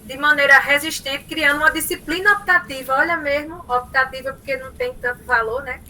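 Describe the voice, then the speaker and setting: A woman lecturer with a Northeastern Brazilian accent from Paraíba, giving an online class in an animated, storytelling way and often tagging her sentences with "né?". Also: high voice, a little fast, a little hiss or hum, low-quality recording. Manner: animated storytelling, frequent "né?" tags. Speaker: university lecturer.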